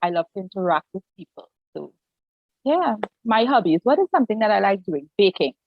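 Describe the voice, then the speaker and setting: A woman's voice: mid-range voice, big pitch swings, brisk, phone-quality sound. A woman in her forties with a Trinidadian accent, a pastor and counselor telling her personal story earnestly on a video call, often restarting her sentences. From Trinidad and Tobago. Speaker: guest, pastor and family life counselor. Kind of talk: personal story in an online interview.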